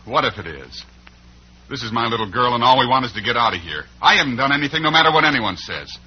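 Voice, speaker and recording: A man's voice: medium pitch, animated, fast, husky. An actor playing a desperate, defensive down-and-out father with an American accent and urgent manner in an old-time radio drama. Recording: muffled old radio recording with hum.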